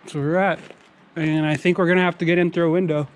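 A man's voice: fairly high pitch, flat delivery, fast. A man exploring outdoors by a mountain river, chatting in a laid-back, slangy way. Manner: laid-back, slangy, says bro and dope. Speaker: vlogger, co-host exploring. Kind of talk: casual on-camera exploring chat.

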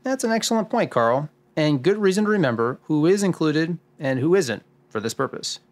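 A man's voice: fairly high pitch, expressive, moderate pace, close to an everyday voice. A man playing an assured, orderly expert sleuth in a scripted radio drama, explaining in a didactic way. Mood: assured, instructive. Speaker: lead expert guiding the discussion.

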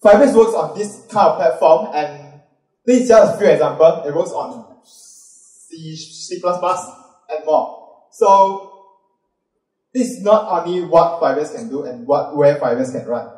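A Malaysian man in his thirties giving an upbeat conference talk and quizzing the audience with quick questions. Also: a little high, darkish tone. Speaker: conference speaker. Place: large dark auditorium with a stage. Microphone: handheld mic. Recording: roomy hall sound from a distant camera.

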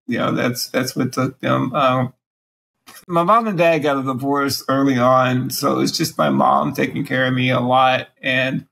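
Man, 40s, raspy and gravelly, halting delivery through a breathing machine, interview guest over video call. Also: medium pitch, fairly bright tone, rough recording. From Alabama. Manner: speaks around a breathing machine mouthpiece, pausing often. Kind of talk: candid personal interview. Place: small room at home, indoors.

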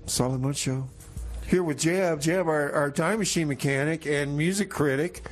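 A man hosting a community radio show with casual, deadpan comic banter, often repeating his phrases. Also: a little high, normal speed, slight background noise. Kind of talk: casual community radio comedy banter, song back-announcing.

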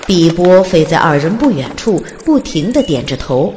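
A woman narrates a story in a fairly low voice with a lively, varied delivery. Her words carry a long reverb, and music plays underneath. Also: normal speed, slight background noise. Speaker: audiobook narrator.

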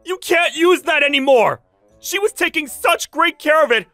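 A man voicing a loyal, hot-tempered young husband, earnest and forceful, in a dramatic manga dub. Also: very high-pitched, lively intonation, moderate pace, no room sound, faint music behind, heavily acted. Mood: outraged.

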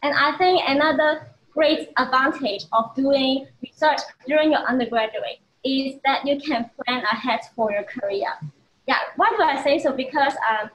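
A young woman with a Hong Kong accent answers panel questions in a studio. Her voice sits somewhat high, and she talks earnestly, pausing with fillers now and then. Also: a bit bright, rough recording. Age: 20s. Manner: earnest, slightly hesitant. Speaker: research student panelist. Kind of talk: panel interview. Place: studio, indoors.

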